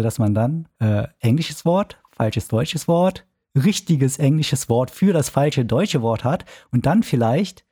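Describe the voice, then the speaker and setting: A man with a soft, mellow voice and a husky edge. He talks casually as a podcast co-host, with a dry, self-deprecating wit. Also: medium pitch.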